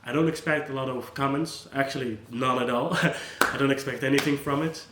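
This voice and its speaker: A man's voice: mid-range voice, steady tone, brisk, noisy background. Earnest Dutch man in an echoey room. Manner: earnest, gentle, conversational with restarts. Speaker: psychologist, channel host. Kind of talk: unscripted talk to camera.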